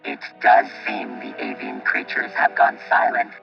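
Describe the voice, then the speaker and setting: An actor playing a literal, unflappable robot protector in a radio play, formal and clinical, through a robotic filter. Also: male voice, measured pace, lo-fi sound, faint music behind. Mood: deadpan, analytical.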